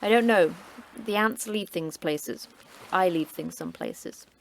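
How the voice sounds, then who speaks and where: An actress playing a terse, cryptic station manager who trains in martial arts, speaking in a curt, dry, sardonic way. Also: female voice, lowish voice, slow, vocal fry, poor audio. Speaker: station manager of a secret facility.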